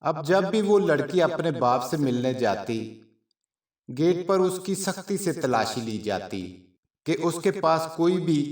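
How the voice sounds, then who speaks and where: Slow-paced male storyteller in a roomy echo. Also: on the high side. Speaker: channel narrator. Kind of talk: scripted story narration. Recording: roomy echo, distant from mic.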